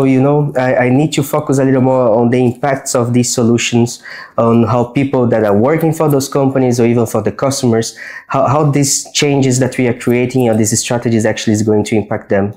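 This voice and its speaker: A man in his thirties from Brazil with a Brazilian accent, talking about his design work in a relaxed, earnest way while getting a haircut. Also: mid-range voice. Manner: relaxed, earnest, restarts words while explaining. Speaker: guest, design strategist. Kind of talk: casual chat during a haircut. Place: barbershop.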